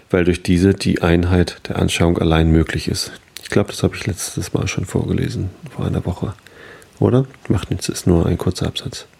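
A man in his thirties hosts a bedtime podcast, musing in a soft, rambling way. His voice sits very low with a gravelly fry. The tone is dark and slightly husky. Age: 30s. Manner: rambling, self-questioning, soft-spoken. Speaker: podcast host. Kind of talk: casual chat then sleepy reading aloud.

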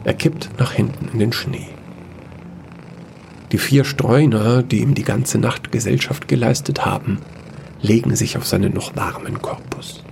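A man reading scripted narration for a sci-fi fairy-tale audio drama in a measured, gravelly storytelling way. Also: mid-range voice, background noise.

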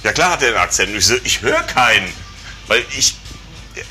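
Rambling middle-aged man, low voice over music. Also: very animated, a little fast, pushed and bright. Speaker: conversation participant. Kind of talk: casual chat.

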